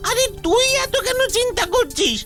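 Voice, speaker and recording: A woman's voice: extremely high pitch, very animated, moderate pace, a bit bright, heavily acted. A woman voicing a chatty, pushy young schoolchild in a cartoon, talkative and exclamatory. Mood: persuasive. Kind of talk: cartoon dub acting. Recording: music behind.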